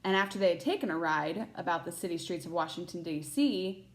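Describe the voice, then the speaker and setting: A woman's voice: fairly low pitch, fairly quick, a little hiss or hum. A woman teacher reading a children's picture book aloud expressively, with short asides to her listeners.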